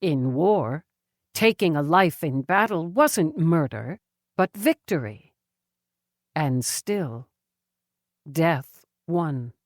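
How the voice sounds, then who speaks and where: A woman narrating a novel as an audiobook, in a measured, grave and dramatic way. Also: deep voice, no room sound, clean studio sound.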